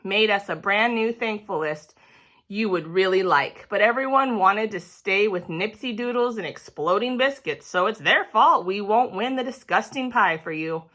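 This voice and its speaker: A woman's voice: mid-range voice, moderate pace, fairly bright tone, natural voice. A woman voicing a prim, self-righteous tattletale little schoolgirl in a children's story, whiny and indignant in manner.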